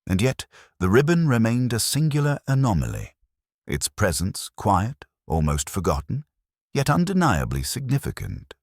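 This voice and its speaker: A man reading a scripted mystery story in a measured, formal storytelling manner. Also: on the low side, huge pitch swings, no room sound, studio quality. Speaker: audiobook narrator.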